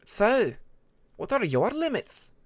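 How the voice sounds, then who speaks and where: Man as sceptical dragon, haughty, pointed, emphatic, raised pitch, fantasy audiobook. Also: wildly swooping pitch, slow, no room sound, full character voice. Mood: probing. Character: haughty, sceptical Icewing dragon, probing and curt.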